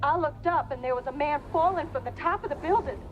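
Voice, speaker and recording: An actress playing a shaken eyewitness interviewed in a video game news report, on a muffled broadcast. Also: female voice, high voice, fast, close to an everyday voice. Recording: muffled broadcast with faint hum.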